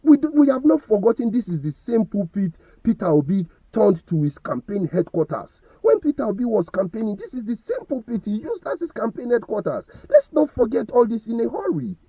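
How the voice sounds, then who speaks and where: A man's voice: sits high, very animated, fairly quick, hardly any pauses, husky, no echo, dull audio. A Nigerian man giving impassioned opinion commentary, firing rhetorical questions and stumbling over repeated words.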